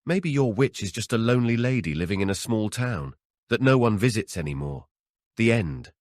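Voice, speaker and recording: A man's voice: fairly low pitch, expressive, normal speed, darkish tone, no room sound, clean studio sound, voice pushed up, natural voice. A man voicing an earnest, exasperated wife in an audiobook, with a chiding manner. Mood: teasing. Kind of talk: dramatic audiobook character voice.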